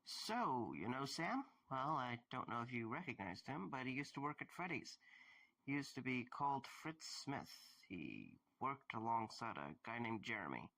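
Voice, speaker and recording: A man playing a tired, wry, kindly investigator in an audio drama, with a gravelly voice and a casual, dry manner. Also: mid-range voice, big pitch swings, normal speed, sharp tone, exaggerated voice, low-quality recording. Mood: casual, tentative.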